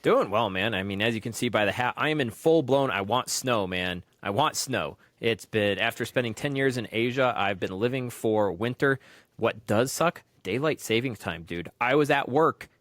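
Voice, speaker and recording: A man's voice: medium pitch, expressive, moderate pace, fairly bright tone, no echo. A man co-hosting a casual podcast, talking in a laid-back, rambling way and calling his partner "man" and "dude.". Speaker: podcast co-host.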